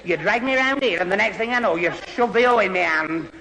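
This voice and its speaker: A man's voice: high-pitched, expressive, fairly quick, bright, forceful tone, full character voice. An actor playing a gormless, put-upon young man who stammers and splutters in exasperation in an old radio sitcom. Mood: grumbling. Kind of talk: 1970s radio sitcom acting. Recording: reverberant old radio recording, faint noise.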